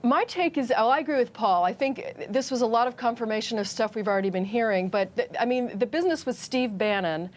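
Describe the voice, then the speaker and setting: A woman giving conversational news analysis as a correspondent, measured and thinking aloud as she weighs her points. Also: on the high side, a little hiss or hum. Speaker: political correspondent, panel guest.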